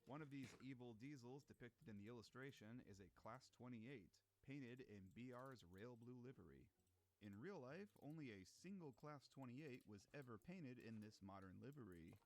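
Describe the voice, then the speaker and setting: A man's voice: mid-range voice, animated, normal speed, low-quality recording. A man reading scripted documentary-style narration in an enthusiastic, emphatic explainer manner.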